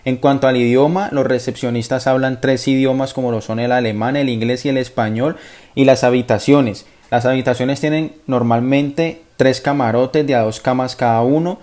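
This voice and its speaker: A man's voice: medium pitch, steady tone, moderate pace. A young man with a Colombian accent, vlogging his travels to camera in a chatty, enthusiastic way. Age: young adult. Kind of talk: casual travel vlog to camera. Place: outdoors at a stadium and a bus station. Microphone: phone or camera held at arm's length. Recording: outdoor city noise, traffic. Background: loud urban ambience and vehicles.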